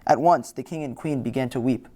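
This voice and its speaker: A storyteller narrates a scripted fairy tale. The voice sits at a middle pitch and reads from a written storybook text. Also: fairly quick, dark tone.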